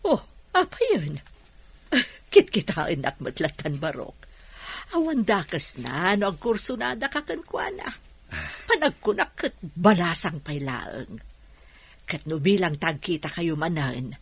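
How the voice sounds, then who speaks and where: A Filipino woman acting a teasing, nosy mother with a husky voice in a radio drama. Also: deep voice, sing-song, no room sound, full character voice. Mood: playful, prying. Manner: slow, playful teasing. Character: teasing, nosy, giggly mother matchmaking her bachelor son.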